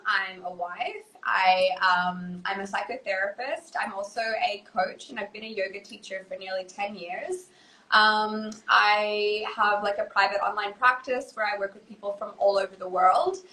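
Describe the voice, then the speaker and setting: A woman in her thirties, who grew up in Indonesia, speaks as a guest psychotherapist on a live video call, thoughtful, with many fillers and soft laughs. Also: mid-range voice. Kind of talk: casual live video chat. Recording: roomy echo.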